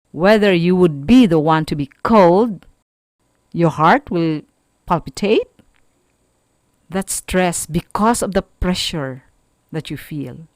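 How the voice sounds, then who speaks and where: Slow, expressive Filipino woman teaching. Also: a little high, no echo. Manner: deliberate, explanatory, halting phrasing with emphatic stresses. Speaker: radio school teacher. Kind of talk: radio lesson.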